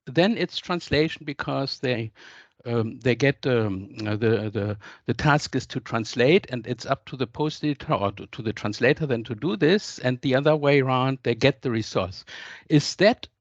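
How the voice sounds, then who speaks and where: Slightly muffled, dry call audio carries a man's medium-pitched voice. He poses an academic question tentatively, circling back to rephrase as he works through it. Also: expressive, moderate pace, no echo. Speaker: audience questioner. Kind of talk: academic discussion, posing a question.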